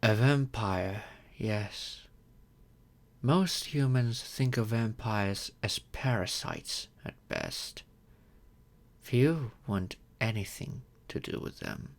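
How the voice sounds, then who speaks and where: A man playing a wealthy, grateful vampire in an ASMR audio roleplay, speaking in a gentle, courteous and measured way. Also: on the low side, very animated, creaky, heavily acted. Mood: solemn storytelling. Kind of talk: slow intimate ASMR roleplay monologue.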